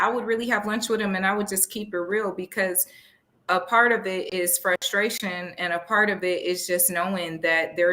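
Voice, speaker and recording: A woman's voice: medium pitch, bright, forceful tone. A woman co-hosting a podcast, talking in a blunt, emphatic way and cursing freely. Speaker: podcast co-host. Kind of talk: casual chat.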